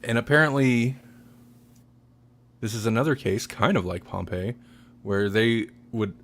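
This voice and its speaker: A man hosting a podcast, chatting casually about audio dramas in a halting, rambling way. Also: medium pitch, lively intonation, fairly bright tone. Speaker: podcast host.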